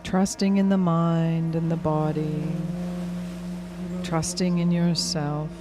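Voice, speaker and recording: Woman, meditation guide, slightly low pitch, very slow pace, soothing gentle instruction, hypnotic induction style. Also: level tone. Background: music clearly behind the voice.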